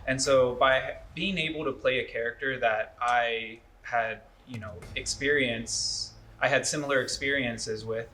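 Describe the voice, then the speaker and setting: Bright-voiced young man being interviewed. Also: mid-range voice, steady tone, measured pace, few pauses. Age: young adult. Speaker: student actor being interviewed. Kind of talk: casual interview answer.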